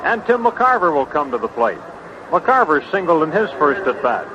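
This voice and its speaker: An American man calling baseball play-by-play in a conversational, unhurried way from a broadcast booth. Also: a little high, very animated. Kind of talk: live sports commentary. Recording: muffled, noisy vintage baseball broadcast.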